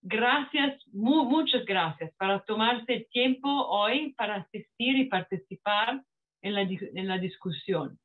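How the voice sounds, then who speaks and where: A woman whose first language is Italian gives a formal welcome speech in a strong Italian accent, talking haltingly with frequent restarts over a video call. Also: medium pitch. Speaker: network manager opening a panel. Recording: phone-like narrow sound.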